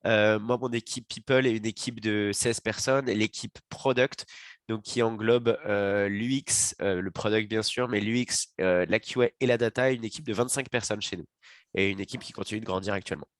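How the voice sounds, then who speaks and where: A French man with a head cold speaking on a panel, his voice close and dry with no room around it. He introduces himself hesitantly, at a medium pitch, his delivery a little stuffy. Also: steady tone, moderate pace, no room sound. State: has a cold. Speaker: panel guest, chief people officer.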